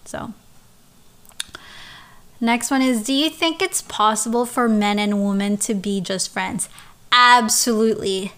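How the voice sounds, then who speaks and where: Slow, smooth-voiced woman from the Philippines. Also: on the high side. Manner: halting, with many restarts and soft laughs. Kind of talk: casual personal storytelling, answering questions.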